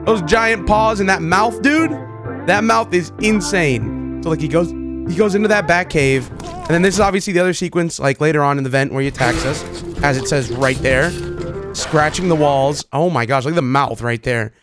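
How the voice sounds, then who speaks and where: A man hosting a reaction video, talking casually to viewers with excited, repeated exclamations. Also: a little high, normal speed, music in the background. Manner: casual, repetitive exclamations, addresses viewers familiarly. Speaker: video host reacting to game clips.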